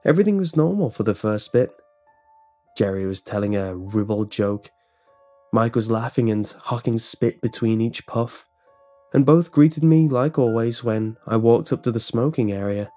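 Guy narrating a creepypasta, mellow warm voice, faint music behind. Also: medium pitch, lively intonation, moderate pace. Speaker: horror story narrator. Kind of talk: scripted first-person horror narration.

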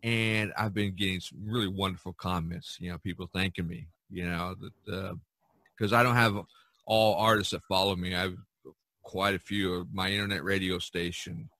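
A man hosting a casual podcast discussion, talking in a rambling, halting way with repeated phrases. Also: low voice, fairly even intonation, bright, forceful tone, no echo. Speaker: podcast host and artist.

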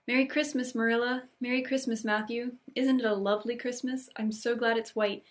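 A woman's voice: fairly high pitch, steady tone, a little fast, slight background noise, natural voice. A woman voicing an effusive, romantic young girl in a storybook read-aloud, full of earnest exclamations. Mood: delighted. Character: excitable, dreamy, romantic young girl, gushing with delight.

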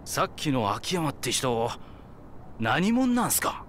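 A man's voice: mid-range voice, big pitch swings, slow, fairly bright tone, a little hiss or hum. A man voicing a curious junior yakuza underling in a Japanese video game, inquisitive and slangy. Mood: puzzled, curious. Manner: inquisitive, casual-polite slangy speech.

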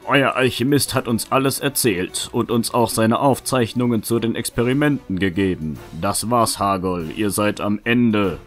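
A man as a low-voiced, resolute young knight. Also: moderate pace, few pauses, faint music behind, close to an everyday voice. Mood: accusing. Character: young would-be knight, bold and accusing. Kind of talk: fan audio drama acting.

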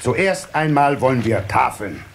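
A man's voice: mid-range voice, lively intonation, unhurried, a little hiss or hum. An actor playing a proud, hospitable water-sprite father in a children's audio play, hearty and ceremonious.

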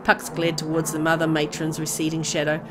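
A woman's voice: deep voice, completely flat delivery, brisk. A woman reading scripted story narration from a visual novel game.

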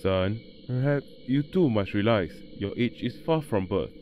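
A man's voice: fairly low pitch, expressive, measured pace, darkish tone, a little hiss or hum. A man playing a stern traditional father arranging his grown son's marriage, speaking firmly and decisively in an amateur radio play. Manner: firm, commanding.

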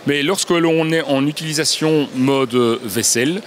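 A man's voice: fairly high pitch, moderate pace. Man explaining a product at a fair. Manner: agreeable, explanatory, many fillers and affirmations. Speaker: brand representative. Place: large indoor trade fair hall.

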